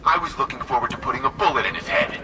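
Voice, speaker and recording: An actor playing an aggressive, bloodthirsty soldier with a boastful, threatening swagger in an audio drama. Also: male voice, medium pitch, expressive, racing, close to an everyday voice. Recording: roomy, distant, very noisy.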